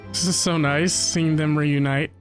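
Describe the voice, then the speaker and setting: A woman's voice: low-pitched, fairly even intonation, measured pace, music in the background. A woman giving casual live reaction commentary as she streams a video game.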